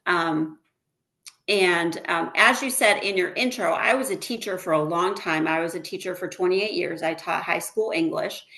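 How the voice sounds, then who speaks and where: A woman in her fifties from central New York, a guest chatting casually and warmly with frequent fillers about her busy days. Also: fairly low pitch, normal speed. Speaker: guest, former teacher turned house flipper. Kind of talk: casual interview chat.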